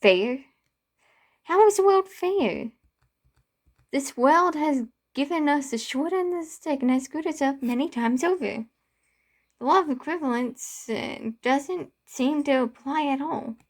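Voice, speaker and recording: A woman's voice: high-pitched, animated, measured pace, voice pushed up. A woman voicing a bitter, distraught young man in a story, impassioned and protesting, with stumbles. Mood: angry, yelling.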